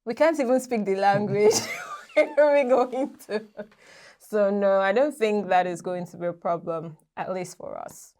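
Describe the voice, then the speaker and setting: A woman's voice: mid-range voice, normal speed. A young woman from Nigeria, a guest on a podcast, chatting casually and laughing as she tells stories. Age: young adult. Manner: lively, laughs while telling stories. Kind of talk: casual interview chat.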